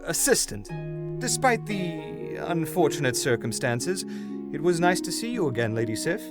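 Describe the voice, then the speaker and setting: An awkward, polite angel acted by a man from an audio drama. His speech is formal, evasive and halting, with animated intonation, and music plays clearly behind him. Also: a little high.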